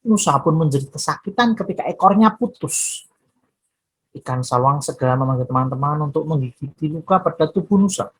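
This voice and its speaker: A woman storyteller narrating a children's folktale expressively, with deliberate, drawn-out dramatic phrasing. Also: sits low, expressive, slightly rough, low-quality recording.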